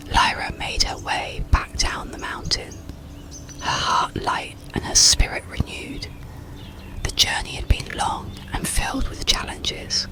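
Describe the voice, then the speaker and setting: A storyteller narrating a bedtime tale in a soothing, deliberate, breathy whisper, in ASMR style. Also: very deep voice, sharp tone. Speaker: audiobook storyteller.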